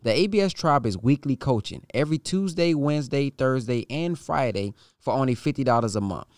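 Clear-voiced man, dry podcast promo. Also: medium pitch, expressive, brisk, a little mellow, dry recording. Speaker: podcast host.

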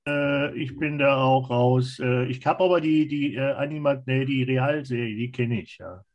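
A man's voice: medium pitch, moderate pace, muffled recording. A man chatting casually as a panellist on a podcast. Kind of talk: casual chat.

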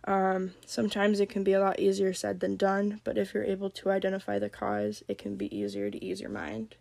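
Girl w a cold doing a calm solo podcast, kinda gravelly and flat, dry close sound. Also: medium pitch, fairly quick, no echo. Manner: calm, gentle, reflective. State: has a cold. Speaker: podcast host. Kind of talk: solo podcast talk on mental health.

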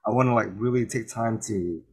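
A man in his thirties from the United States, hosting a casual interview in an earnest, halting way with many restarts. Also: on the low side, slightly rough.

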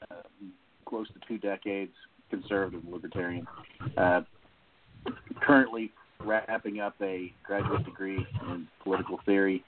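Phone-like, lower-voiced man hosting a podcast. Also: noisy background. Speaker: podcast host. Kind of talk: casual podcast introductions. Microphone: online voice call. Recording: muffled, phone-like voice-call audio.

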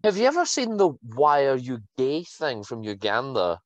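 A man in his twenties with a medium-pitched, very varied intonation chats as a podcast co-host. His voice is recorded dry and the style is informal. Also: wildly swooping pitch, moderate pace, dry recording. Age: 20s. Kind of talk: casual podcast chat.

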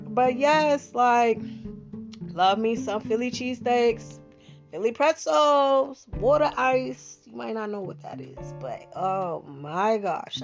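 Slangy, slow-talking Philly woman podcaster. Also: on the high side, vocal fry. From Bahamas-born, raised in Philadelphia. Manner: chatty, slangy, lots of fillers and asides. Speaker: podcast host. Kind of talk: casual monologue. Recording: dull lo-fi sound with guitar music behind.

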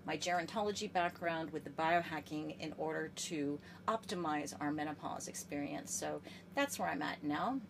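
A woman's voice: low voice, vocal fry, noisy background. An American woman in her fifties, a guest chatting enthusiastically in a podcast interview, often restarting her phrases. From United States. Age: 50s. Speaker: guest, gerontologist.

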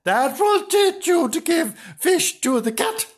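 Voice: very high voice, very animated, slow, pitched above the performer's own voice, full character voice. A storyteller voicing an exasperated, scolding housewife in a comic children's story, exclaiming and repeating phrases. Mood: bossy.

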